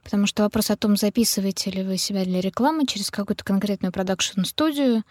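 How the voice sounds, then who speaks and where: Woman, mid-pitched voice, dry close sound, interviewer reading questions. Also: fast talker, darkish tone, no room sound.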